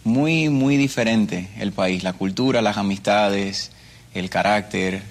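A man with a Cuban Spanish accent tells his life story as a guest on a religious show. His voice is on the low side and steady, and he talks earnestly, circling back and repeating phrases as he goes. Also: slow. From Cuba. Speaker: interview guest. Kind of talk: personal testimony.

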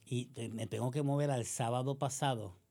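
A woman's voice: very deep voice, fairly even intonation, normal speed, dark tone. A woman with a Puerto Rican accent delivering a combative political monologue, sarcastic and accusatory, full of rhetorical questions. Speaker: political commentator.

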